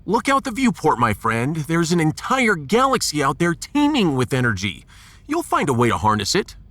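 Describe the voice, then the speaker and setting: A man playing a resolute starship captain in a sci-fi audio drama, commanding, earnest and measured. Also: fairly high pitch, huge pitch swings, fairly bright tone, dry recording, full character voice. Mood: determined.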